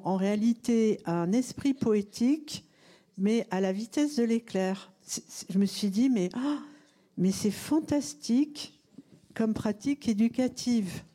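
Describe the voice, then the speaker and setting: A woman author speaking on a literary panel with a warm, mellow tone. She talks with enthusiasm and often pauses mid-sentence to find the right word. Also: a little high. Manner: enthusiastic, searching for words. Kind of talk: panel discussion.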